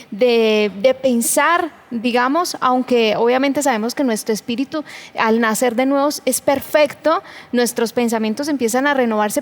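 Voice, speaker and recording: A woman from Colombia with a Colombian Spanish accent, hosting a church conversation program, affirming her guest and often restarting her words. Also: on the high side. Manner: affirming, stumbles and repeats words. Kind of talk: faith conversation interview.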